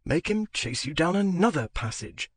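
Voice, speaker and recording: Reader putting on a muttering sardonic gentleman, a bit lower than narration, dry close sound. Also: sing-song, normal speed, slightly rough, no room sound. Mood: grumbling. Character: weary, irritable gentleman woken at night.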